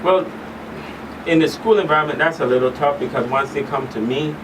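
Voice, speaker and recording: A man's voice: on the high side, fairly quick. Man, distant and noisy recording. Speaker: guest expert, professional counselor. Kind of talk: radio talk show interview. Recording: distant mic, clearly noisy background.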